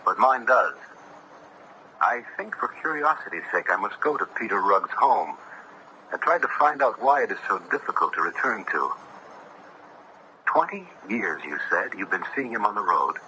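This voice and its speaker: An actor playing a curious, compassionate young judge in a 1970s radio drama, speaking formally and with restraint. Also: male voice, lowish voice, very animated, moderate pace, sharp tone, heavily acted. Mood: thoughtful resolve. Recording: noisy vintage radio broadcast.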